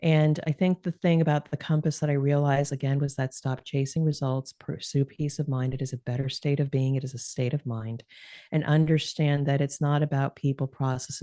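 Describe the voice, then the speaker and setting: A middle-aged woman with a low, calm voice and a warm, mellow tone. She talks earnestly and reflectively, as a guest on an interview show. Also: moderate pace. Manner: reflective, earnest, with occasional laughs. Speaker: podcast guest. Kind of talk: conversational interview.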